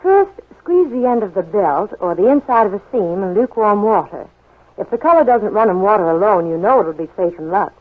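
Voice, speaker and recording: A woman's voice: medium pitch, lively intonation, fairly quick. A woman giving chirpy, instructive household tips in a scripted 1940s radio advertisement. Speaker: sponsor's spokeswoman. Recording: muffled old 1940s radio broadcast.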